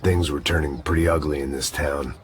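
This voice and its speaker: An actor playing a world-weary, cynical American ex-cop, narrating a noir video game in a deadpan, sardonic way. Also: male voice, rumbling low, brisk, slight background noise. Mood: bitter, sardonic. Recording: loud music under the voice.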